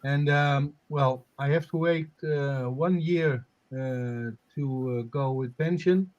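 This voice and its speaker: A man's voice: medium pitch, muffled recording. Hesitant man in his sixties. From Netherlands. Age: 60s. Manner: hesitant, many filler sounds and pauses. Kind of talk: casual group chat on a video call.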